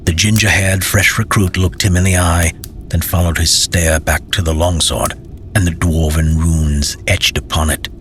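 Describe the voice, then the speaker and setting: A man's voice: deep voice, little pitch movement, moderate pace, fairly bright tone, slight background noise. A man narrating a scripted fantasy story as an audiobook reader.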